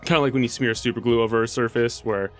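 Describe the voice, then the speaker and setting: A man's voice: medium pitch, steady tone, quick pace, a bit bright. A man playing a tabletop game, improvising his character's actions casually and thinking aloud. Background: faint music.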